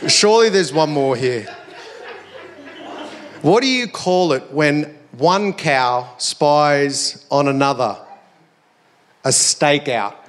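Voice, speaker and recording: Australian male preacher, slightly high voice with a hoarse edge, conversational and reflective sermon delivery. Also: lively intonation, slow, long pauses, background noise. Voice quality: slightly croaky. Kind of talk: sermon to a congregation.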